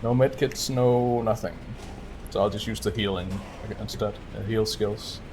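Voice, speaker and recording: A man's voice: lowish voice, unhurried, darkish tone, a little hiss or hum. A man giving casual live gameplay commentary as a speedrunner, muttering and thinking aloud. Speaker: speedrunner streaming a video game.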